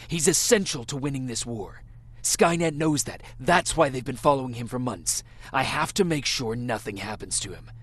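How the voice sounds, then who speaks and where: A commanding male soldier in a dramatic action game. His voice is husky and highly animated, with terse, urgent delivery built for giving orders.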